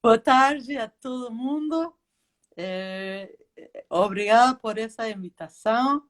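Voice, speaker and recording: A woman whose first language is English, speaking as an interview guest in a careful, hesitant, deliberate way. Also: on the high side, a bit bright. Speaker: guest, legal advisor. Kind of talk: introducing herself in a live interview.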